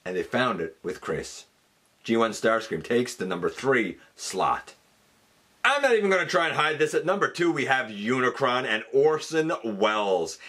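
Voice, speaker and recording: A man's voice: mid-range voice, lively intonation, normal speed, sharp tone, slightly rough. A man hosting a fan countdown, giving casual, enthusiastic and emphatic commentary. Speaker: host of a fan countdown show.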